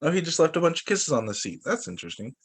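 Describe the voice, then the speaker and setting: A man working as a teacher, reading children's storybooks aloud in an expressive way. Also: on the high side, fast, slightly rough. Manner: expressive, acts out dialogue for young children.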